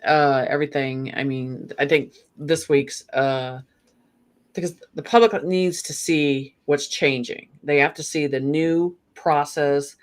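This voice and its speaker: A guest speaking earnestly in a casual chat, rambling with many fillers and restarts. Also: mid-range voice.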